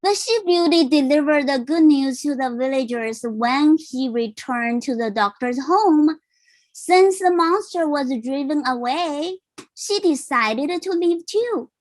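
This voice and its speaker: Slow, high-voiced woman reading a storybook. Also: low-quality recording. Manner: simple, explanatory, checks in with listeners. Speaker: parent reading a picture book aloud. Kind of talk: read-aloud storytelling with asides.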